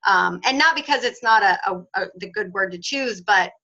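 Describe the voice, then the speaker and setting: Bright-voiced woman on a video call. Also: mid-range voice, lively intonation, moderate pace, highs cut off. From North Texas. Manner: chatty, laughs often, explanatory. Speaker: host of membership call. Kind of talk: casual group video chat.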